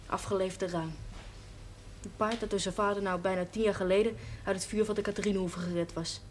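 Blunt, defiant daughter played by an actress, faint noise. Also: female voice, medium pitch, fairly even intonation, a little fast, natural voice. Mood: bitter, insistent. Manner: blunt, coarse, emphatic. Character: blunt, passionate, defiant daughter pleading for a man. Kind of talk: television drama acting. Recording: faintly noisy old television soundtrack.

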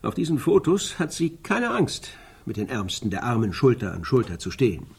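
Very husky, solemn analyst. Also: male voice, medium pitch, sing-song, heavily acted. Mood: assertive. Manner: deliberate, emphatic, repeats himself. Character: solemn male analyst dissecting a woman's life, insistent. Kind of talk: German radio play, stylised dialogue.

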